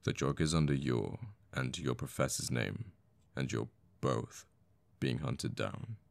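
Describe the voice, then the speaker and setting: An actor playing a conflicted, protective assassin boyfriend in an intimate ASMR audio roleplay, speaking tenderly and haltingly with pauses. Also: male voice, very deep voice, vocal fry, dry recording, heavily acted. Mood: sheepish, confessing.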